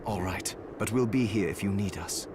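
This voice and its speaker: A man's voice: lowish voice, moderate pace, background noise, natural voice. A man playing an earnest, caring alchemist in a video game. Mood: concerned. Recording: music behind the voice.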